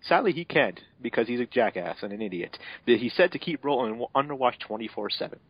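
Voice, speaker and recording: Casual male narrator for a bad-fanfic reading, sardonic, mid-pitched, on a lo-fi home mic. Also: expressive, a little fast, breathy edge, no echo. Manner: sardonic asides, breaks off to swear. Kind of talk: mocking amateur dramatic reading.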